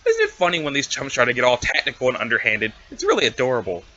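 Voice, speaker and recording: A man's voice: medium pitch, huge pitch swings, quick pace, pushed and bright, lo-fi sound, a little hiss or hum. A man voicing a cocky, sarcastic young female alien schemer with snarky, bossy talk in an amateur comic reading. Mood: bossy, scheming. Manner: snarky, bossy, casual needling.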